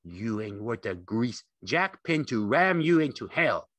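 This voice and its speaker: A man's voice: mid-range voice, sing-song, a little slow, fairly bright tone, no room sound, voice pushed up. A man voicing a gigantic imaginary rabbit in an audiobook, scornful and cruelly taunting in coarse speech.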